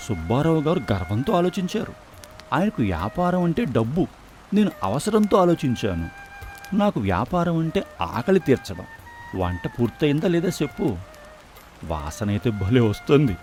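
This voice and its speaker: A man plays a humble village food seller in a moral tale. He speaks in an earnest, reassuring way with lively swings of pitch in a mid-range voice, over faint music. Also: moderate pace, lightly acted. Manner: reassuring, earnest, proverb-laden. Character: poor, confident, philosophical food stall husband. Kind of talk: dramatized moral story voice acting.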